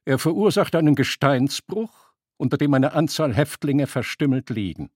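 Male narrator for literary prose: lighter pitch, husky grain, dry acoustic, sober storytelling. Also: animated, moderate pace, no echo.

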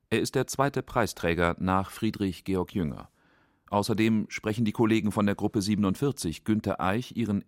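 A man's voice: lowish voice, dry recording, studio quality. A man narrating a documentary feature from a script.

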